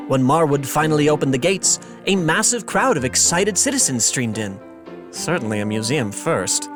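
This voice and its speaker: A man's voice: a little high, lightly acted. Man as a wry storyteller over music. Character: wry storyteller narrating a fantasy tale. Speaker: narrator. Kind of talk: scripted narration.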